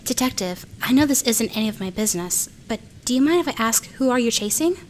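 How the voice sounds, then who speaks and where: A woman playing a concerned, gently teasing junior police colleague in an amateur radio drama, polite and tentative. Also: fairly high pitch, expressive, fast, lightly acted. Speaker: voice actor in amateur radio play. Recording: faint background noise.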